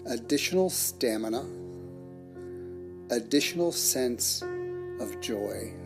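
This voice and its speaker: Slow-paced guided meditation voice over music. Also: mid-range voice. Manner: measured, soothing, with long pauses. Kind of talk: guided meditation, scripted narration. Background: soft plucked guitar music.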